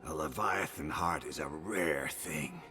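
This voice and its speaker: An actor playing a sick old braggart of a hero in a fantasy video game, rambling and wistful. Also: male voice, a bass voice, unhurried. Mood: confiding, pleading. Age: older. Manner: rambling, boastful storytelling. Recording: music behind the voice.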